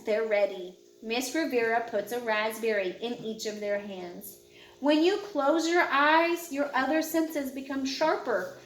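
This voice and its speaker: A woman with a high voice narrates a children's picture book. She takes her time, guiding young listeners in a measured, instructional way. The recording sounds roomy and echoey. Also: a little hiss or hum. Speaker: audiobook narrator.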